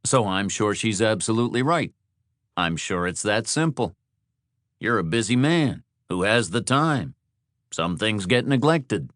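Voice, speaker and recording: A man voicing a smooth, controlling motel host in an audiobook, coldly polite, measured and condescending. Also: fairly low pitch, expressive, fairly bright tone, no room sound, clean studio sound.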